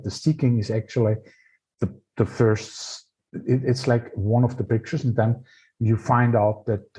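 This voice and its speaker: A man with an Austrian accent and a low, mellow voice speaks slowly and thoughtfully. He pauses to find the right English words. It sounds like a reflective spiritual conversation. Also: slightly rough, rough recording.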